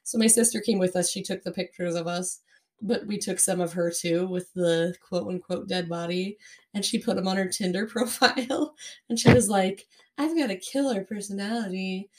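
A woman's voice: mid-range voice, moderate pace, a little mellow. A young American woman co-hosting a podcast in casual chat, her voice worn by a cold. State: recovering from a cold and lost voice. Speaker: podcast co-host.

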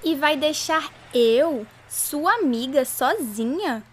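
A woman's voice: high-pitched, expressive, takes it slowly. A woman playing an eager, wistful little schoolgirl who asks childlike questions in a radio drama, with a Brazilian accent. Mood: pleading.

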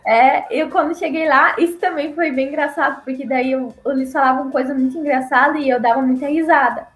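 High-voiced woman, chatty. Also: fairly even intonation, fairly quick, a bit bright, reverberant. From Paraná, southern Brazil. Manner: chatty, laughs often, acts out quoted locals in a higher voice. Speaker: guest, former missionary. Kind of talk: casual conversational storytelling.

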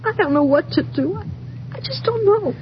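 Frightened, high-voiced young female witness. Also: lively intonation, brisk. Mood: fearful, pleading. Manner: hesitant, stammering, emotional. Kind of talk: 1950s radio acting. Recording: muffled, noisy 1950s radio recording.

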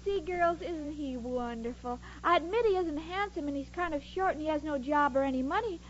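A woman's voice: sits high, a little fast, lightly acted. An actress playing a sweet, naive, dim-witted young woman with earnest, guileless delivery in a 1940s radio comedy. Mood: sweetly naive. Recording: muffled noisy old radio recording, studio audience.

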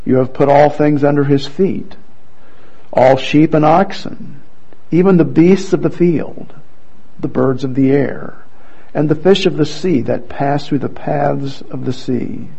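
A man preaching in a slow, deliberate way, with a gravelly edge to his voice. He reads scripture earnestly and often pauses to restart his phrasing. The recording is dull and lo-fi. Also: a little high, mellow. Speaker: pastor. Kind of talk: sermon with scripture reading.

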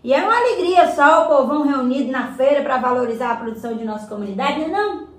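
Woman doing folksy outspoken community lady for a radio soap, high pitched, emphatic, bit echoey. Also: animated, fast. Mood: enthusiastic. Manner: colloquial, emphatic, seeking agreement with tag questions. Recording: roomy, echoing voice recording.

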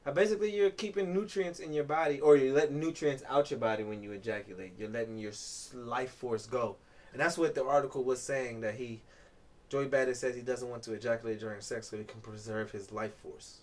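A man's voice: medium pitch, fairly even intonation, fairly quick, a little mellow. A man hosting a casual podcast, reading aloud and riffing in an informal, profane, joking way. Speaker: podcast host. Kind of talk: casual chat with read-aloud article commentary.

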